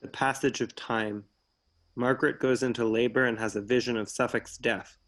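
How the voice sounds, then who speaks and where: A man's voice: mid-range voice, fairly even intonation, normal speed, a bit bright. A man reading stage directions as scripted narration for a play. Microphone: video call.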